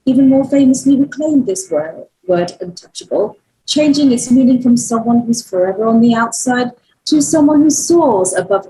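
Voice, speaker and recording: A woman's voice: fairly high pitch, mellow, close to an everyday voice, poor audio. A woman acting in a table read as an earnest, easily exasperated daughter in her early thirties. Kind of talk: speech read from a screenplay.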